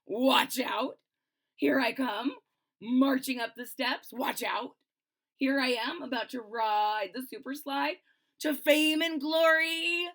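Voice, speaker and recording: A woman's voice: fairly even intonation, slow pace, a bit bright, exaggerated voice. Woman doing a jittery little bean boy. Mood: boastful. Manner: fretful, quavering, rising to shrill cries. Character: nervous little male bean, timid, anxious.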